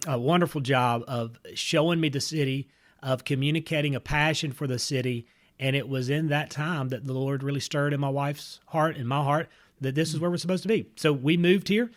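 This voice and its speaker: A middle-aged man from North Mississippi, an earnest missionary recounting his path in a relaxed interview, with fillers and restarts. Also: medium pitch. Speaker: missionary and church planter. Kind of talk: casual interview storytelling.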